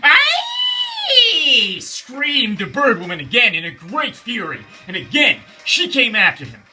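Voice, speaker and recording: A man's voice: sits high, sing-song, normal speed, music in the background, low-quality recording. A man telling a children's bedtime story in a theatrical, emphatic way, repeating phrases for suspense.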